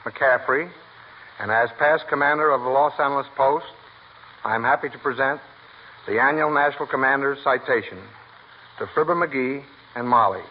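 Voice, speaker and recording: A man, a veterans' official, reading a ceremonial citation in a formal, stiff, measured way. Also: mid-range voice. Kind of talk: formal ceremonial read-out speech. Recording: muffled old radio recording, faint noise.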